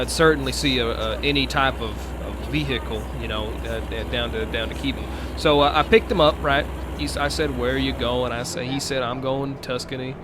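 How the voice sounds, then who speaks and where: A man playing a folksy trucker in improvised CB roleplay, rambling and halting. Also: mid-range voice, noisy background, lightly acted. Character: folksy male trucker telling tall road tales.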